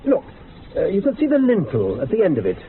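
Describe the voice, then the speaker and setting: Animated, high-pitched, eloquent man on muffled audio. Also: brisk, a crowd in the background. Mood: grimly fascinated. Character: eloquent, grimly fascinated man recounting strange deaths. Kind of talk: radio drama acting. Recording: muffled phone-like sound with faint noise.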